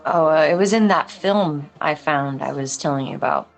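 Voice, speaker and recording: A woman's voice: deep voice, normal speed, vocal fry, soft background music, poor audio. A woman playing a guarded, dry-witted police officer in a film script table read. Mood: businesslike, casual.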